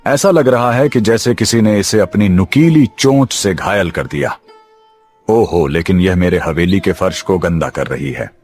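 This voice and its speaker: A man's voice: low voice, a little slow, darkish tone, soft background music. A man voicing a greedy, arrogant thief in a cartoon story, with a brash and mocking manner. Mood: startled, callous.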